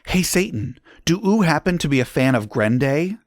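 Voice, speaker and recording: A dramatic teenage goth girl from a trashy online story, acted out by a man, pitched well up from his own voice. The delivery is exclamatory and gushing, full of mangled slang, in a dry close recording. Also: very animated, moderate pace, no room sound. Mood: excited. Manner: exclamatory, mispronounced slangy words.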